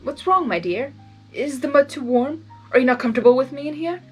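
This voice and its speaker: A woman reads out a grand, playful male chaos spirit who dotes and shows off. The voice sits high and pushes loud, with a theatrical lilt that fusses over every word, like a storybook trickster. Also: lively intonation, brisk, husky, soft background music. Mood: fussing. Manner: theatrical, doting, showy. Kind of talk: fan fiction reading.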